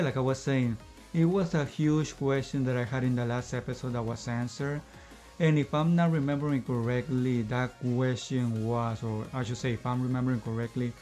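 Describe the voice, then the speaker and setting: A man casually reviewing an anime episode in one unscripted take, slightly out of breath and rambling, filling pauses with "you know" and "I guess you can say.". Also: medium pitch, darkish tone.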